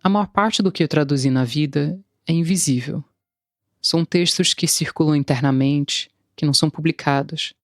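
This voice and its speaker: A woman's voice: low-pitched, normal speed, no echo, clean studio sound, soft background music. A woman in her thirties, a translator, telling her own story in wry, confessional scripted narration. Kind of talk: scripted first-person narration.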